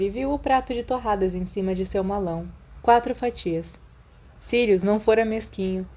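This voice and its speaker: A woman's voice: medium pitch, mellow. A woman with a Brazilian accent reading a scripted story as an audiobook narrator. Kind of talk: scripted fan fiction narration.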